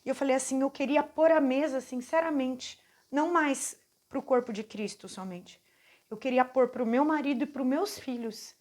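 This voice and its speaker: A Brazilian woman in her forties giving an earnest, heartfelt personal faith testimony, speaking haltingly with restarts. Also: high voice, a little mellow. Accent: Brazilian Portuguese. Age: 40s. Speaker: channel host giving a testimony.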